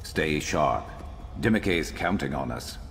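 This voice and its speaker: Very deep, gruff lizard-man avenger. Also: lively intonation, music in the background, full character voice. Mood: urgent, resolute. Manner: measured, sardonic, brooding. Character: gruff, vengeful lizard-man companion, wry and earnest. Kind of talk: video game character dialogue.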